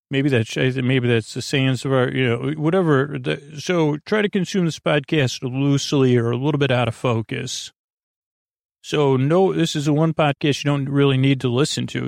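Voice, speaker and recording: A man with a creaky voice hosting a bedtime podcast in a meandering, halting, drawn-out monologue that keeps wandering off on tangents. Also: mid-range voice, no echo, clean studio sound. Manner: hesitant, halting, drawn-out, digressive. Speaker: podcast host.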